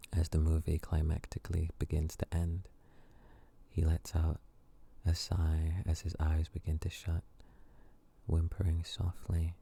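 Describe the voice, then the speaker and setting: Very deep, gravelly male ASMR narrator. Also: mellow. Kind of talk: soft ASMR story narration.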